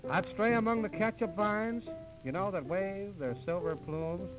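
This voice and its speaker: Higher-voiced American man, 1930s radio comedian. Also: a little slow, poor audio. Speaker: comedian in a singing comedy duo. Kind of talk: 1930s radio comedy patter, song and mock recitation. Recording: old 1930s radio transcription disc, music behind.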